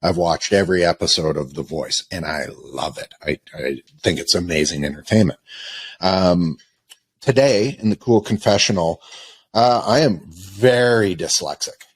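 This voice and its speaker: A Canadian man hosting a podcast in a studio, talking in an energetic, joking, confessional way. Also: low-pitched, normal speed, husky. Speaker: podcast host. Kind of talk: casual podcast chat.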